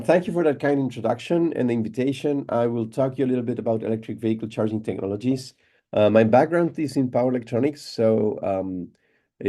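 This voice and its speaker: Relaxed Chilean man giving a lecture. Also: lowish voice. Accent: Chilean Spanish. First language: Spanish. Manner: relaxed, repeats words often, many fillers. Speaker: guest lecturer. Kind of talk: online lecture. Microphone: computer mic on a video call.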